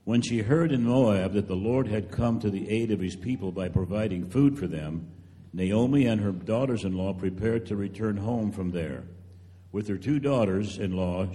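In a long, reverberant hall, a man reads scripture as a narrator. His voice is very low and dark, and he tells the story in a measured, solemn way. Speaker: narrator in church drama team. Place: large church hall, live reading.

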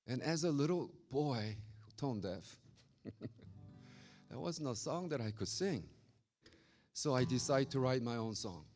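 A man from Korea with a Korean accent, preaching a sermon as a pastor and telling stories with lively acted-out voices. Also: on the low side. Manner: animated, acts out quoted voices. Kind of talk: storytelling sermon.

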